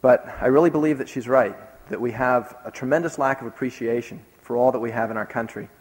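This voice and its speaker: A man recounts his travels with earnest emphasis. His voice sits at a middle pitch and carries the long reverb of a large hall. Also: fast. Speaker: speaker recounting a trip. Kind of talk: recounting a trip in a talk.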